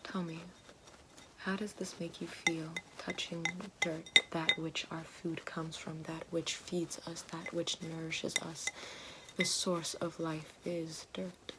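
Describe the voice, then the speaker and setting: A woman speaking softly as an ASMR host guiding the listener, slow and hushed, often slipping into a whisper. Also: low voice, flat delivery, very noisy. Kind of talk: soft-spoken ASMR roleplay, guiding a grounding exercise.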